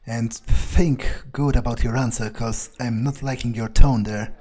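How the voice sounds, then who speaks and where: A man improvising in character during a video game playthrough, thinking aloud bluntly and haltingly, with swearing. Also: mid-range voice, fairly even intonation, dark tone. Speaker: video game player speaking as his character.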